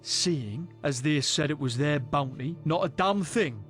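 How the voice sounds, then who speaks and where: An actor playing a boisterous, rough British adventurer in a fantasy game, telling tales in a salty, wry way. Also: male voice, fairly high pitch, expressive, measured pace, a bit bright, faint music behind. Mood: amused, boastful. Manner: salty, foul-mouthed, wry storyteller. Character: boisterous rough male adventurer spinning a monster tale. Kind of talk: in-character storytelling in a fantasy game.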